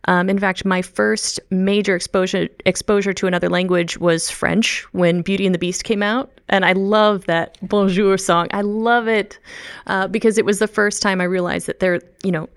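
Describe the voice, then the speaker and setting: A woman's voice: medium pitch, fairly even intonation, moderate pace, smooth. A middle-aged woman, chatting in an interview as a language researcher, laughing often and restarting her sentences. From Midwest United States. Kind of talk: casual interview talk.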